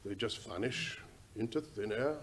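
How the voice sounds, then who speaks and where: A man's voice: fairly low pitch, normal speed, fairly bright tone. A man playing a deferential, worried working-class English railway station master in an old-time radio drama, speaking hesitantly and respectfully in a folksy way. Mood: puzzled, earnest.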